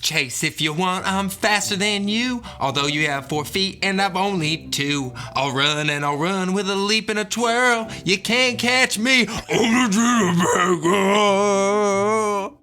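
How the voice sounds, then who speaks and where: A man voicing a cheeky, boastful gingerbread cookie girl, singing rhymes in a bluesy, gravelly bass with showy drawn-out endings. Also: sharp tone, full character voice. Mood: taunting, showy. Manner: sing-song rhyming, drawn-out showy endings. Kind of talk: sung children's storybook character.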